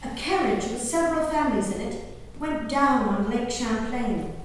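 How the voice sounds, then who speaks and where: A woman acts out a wayward, grieving colonial lady reading her diary theatrically in a high voice. Her delivery is deliberate and emotive, with drawn-out words. She is heard from far off in a big, heavily reverberant hall. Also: lively intonation, natural voice. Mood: distressed, somber. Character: grieving, wayward colonial-era gentlewoman and mother, outwardly dutiful. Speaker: stage performer. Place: live performance in a large echoey room. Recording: echoey hall, distant mic, faint noise.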